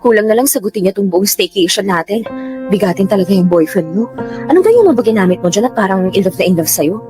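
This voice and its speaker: An actress playing a guarded, sensitive young Filipino woman in a radio drama, emphatic and quick to scold. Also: medium pitch, animated, close to an everyday voice. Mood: friendly, cautioning. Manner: emphatic, nagging. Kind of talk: Tagalog radio drama acting. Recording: music bed under dialogue.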